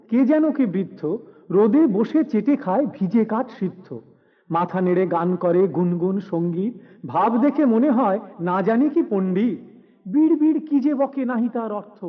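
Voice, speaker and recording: A man reciting rhymed poetry in an animated, theatrical and whimsical manner. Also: sits high, unhurried, narrow, tinny audio.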